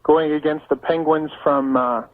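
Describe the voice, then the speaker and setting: A man's voice: fairly high pitch, fairly even intonation, moderate pace, dry recording. An American man calling a radio show, chatting casually in a polite, agreeable, slightly hesitant way. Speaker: caller. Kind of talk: casual phone-in chat. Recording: tinny phone line on radio.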